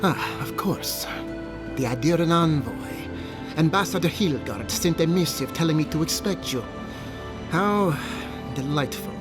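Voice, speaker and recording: Stern, high-voiced male governor. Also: animated, measured pace, music in the background. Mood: cool, haughty. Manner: formal, clipped, disapproving. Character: stern, officious male governor, guarded and authoritative. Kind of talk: video game voice acting.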